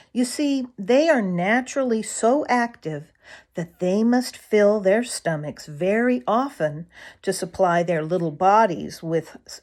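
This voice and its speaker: Female children's audiobook narrator: slow, lowish register, animated swoops of intonation. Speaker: storybook reader, narrator. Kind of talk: expressive children's story narration.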